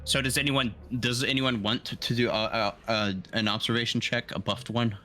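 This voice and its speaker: A man's voice: mid-range voice, steady tone, normal speed, bright, forceful tone. A man playing a tabletop game, chatting casually with sweary, joking banter. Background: faint music.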